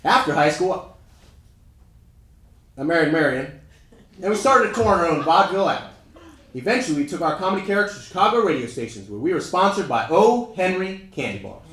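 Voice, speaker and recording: A man acts out a proud male radio comedy pioneer looking back on his career in a staged reading. The voice is high for a man and swings widely in pitch, with emphatic, recited phrasing. The room rings with echo. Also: expressive, a little fast, pauses often, a bit bright. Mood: proud, nostalgic. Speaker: presenter in a dramatic reading. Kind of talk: first-person scripted historical monologue. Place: indoor room at a live event. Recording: roomy, echoey live hall recording.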